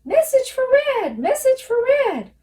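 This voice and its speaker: A woman voicing a hyper little storybook gnome who delivers messages in a squealing, screechy half shout. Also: very high-pitched, huge pitch swings, a little slow, pitched above the performer's own voice, heavily acted. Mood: excited squealing.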